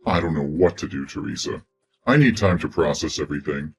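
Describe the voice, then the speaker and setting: A man's voice: extremely low pitch, fairly quick, faint music behind. An actor in his twenties playing a selfish, career-focused young man who speaks in a defensive, dismissive way. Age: 20s. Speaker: reluctant boyfriend. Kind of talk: scripted relationship drama.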